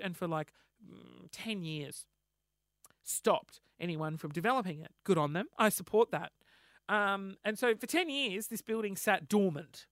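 Hoarse Australian podcast host, bright and animated, self-mocking rambler. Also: male voice, sits high, no room sound, studio quality. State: hoarse, losing his voice. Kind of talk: casual solo storytelling.

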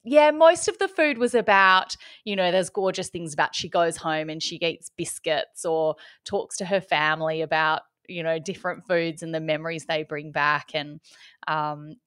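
A woman's voice: lowish voice, a bit bright, dry recording, studio quality. An Australian woman co-hosting a casual chat about books and food, chatty and enthusiastic, often laughing. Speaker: podcast co-host.

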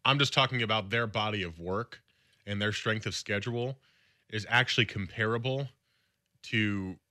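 A man co-hosting a sports radio show, chatting quickly and casually about college football with a lot of filler words. His voice sits a little low but sounds bright and slightly raspy. The close mic leaves the sound very dry. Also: no echo. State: slightly raspy from recent illness. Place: radio studio.

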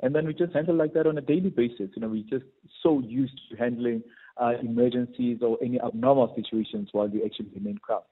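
A man's voice: medium pitch, quick pace, phone-quality sound. A South African man giving explanatory interview answers as an air traffic controller, often saying "you know". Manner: frequent "you know" as a verbal habit.

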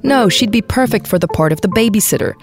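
A woman reading scripted documentary narration in a measured, even way. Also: mid-range voice. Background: music bed.

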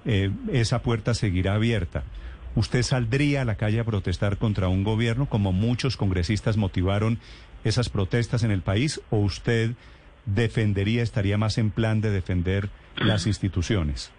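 A man interviews a guest with long, probing questions. His voice is fairly low. A faint hum of room noise sits behind him. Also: steady tone, normal speed, darkish tone.

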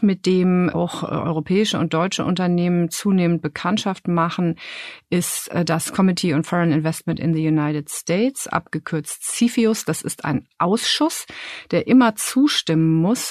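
A woman speaking as a business journalist in a podcast, explaining things in a measured way, step by step, with concrete examples. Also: on the low side, a little mellow, no room sound, clean studio sound.

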